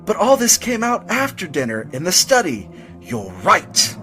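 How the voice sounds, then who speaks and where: A man playing a fussy, excitable butler in a comic murder mystery audio drama, theatrical, formally polite and flustered. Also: high-pitched, a little slow, full character voice. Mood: excited, insistent. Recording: music bed under dialogue.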